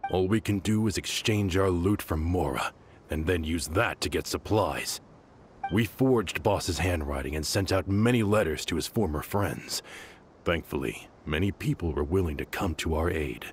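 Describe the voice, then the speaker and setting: A man's voice: deep voice, normal speed, slight background noise, close to an everyday voice. An actor playing a determined mercenary man giving a matter-of-fact account in a game cutscene.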